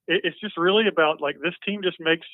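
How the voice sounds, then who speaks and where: A man in his forties in a casual sports chat, talking quickly and stammering with repeated words. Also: on the high side, no room sound. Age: 40s. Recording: tinny phone-like line.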